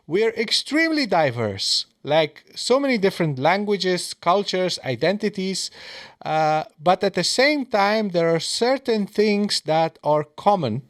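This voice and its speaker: A man's voice: high-pitched, big pitch swings, measured pace, dry recording, clean studio sound. A man hosting an interview, speaking in a hesitant, reflective way with many filler sounds. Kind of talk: conversational interview talk. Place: Europe.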